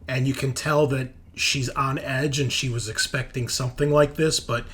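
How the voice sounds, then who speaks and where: A man running a tabletop game as game master, narrating casually and excitably with informal asides. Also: medium pitch, moderate pace. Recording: music behind the voice. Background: background music.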